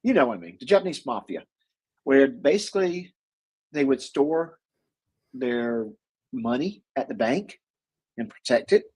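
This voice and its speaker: A man with a husky voice, co-hosting a casual chat about movies, rambling with lots of fillers and restarts. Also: mid-range voice, sing-song, measured pace, pauses often, a little mellow. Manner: rambling, many fillers and restarts, chuckles often. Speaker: co-host.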